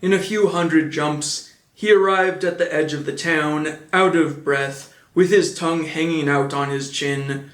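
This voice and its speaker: A man reads a classic storybook aloud at a measured, steady pace. His voice sits a little high for a man, and the room gives it a clear echo. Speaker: audiobook reader. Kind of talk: storybook narration. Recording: echoey room.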